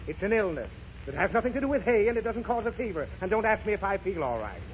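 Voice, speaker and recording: An exasperated, grumbling American dad from a 1930s radio comedy. His high voice leaps around with irritation as he huffily explains. The old recording is dull, with a faint noise. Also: sing-song, fast talker. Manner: irritable, sarcastic, huffy explanations. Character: exasperated, grumbling father of a pestering little girl.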